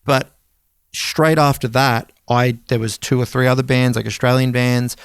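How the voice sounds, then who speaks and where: A man in his thirties with a clear, smooth voice and an average pitch. He talks as a podcast co-host, rambling and swearing casually, recorded very dry with no room sound. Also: steady tone, normal speed, dry recording. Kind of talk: casual music podcast chat.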